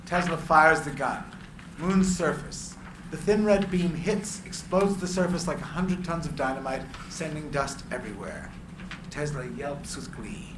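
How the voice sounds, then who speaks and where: A man's voice: fairly high pitch, measured pace. A man reading screenplay stage directions aloud as dramatic narration at a live reading. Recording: echoey room, clear background noise.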